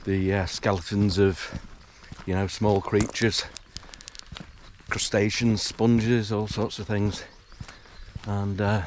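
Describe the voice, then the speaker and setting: A man narrating a morning countryside walk in a relaxed, hesitant way, full of fillers, his voice a little croaky from just waking up. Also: fairly low pitch, takes it slowly, a little hiss or hum. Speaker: hiking vlogger. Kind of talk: casual walking commentary. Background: rural outdoor sounds, animals.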